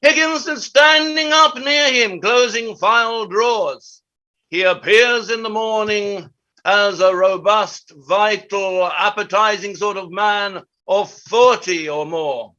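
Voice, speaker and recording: A man acts as an exacting, pompous playwright narrating a stage play. His voice is high and sharp. He declaims each direction deliberately and theatrically. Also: muffled recording, heavily acted. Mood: emphatic.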